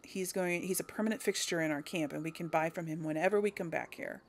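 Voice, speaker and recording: A woman's voice: fairly low pitch, fast. A woman hosting a gaming video, giving casual, chatty and rambling let's play commentary.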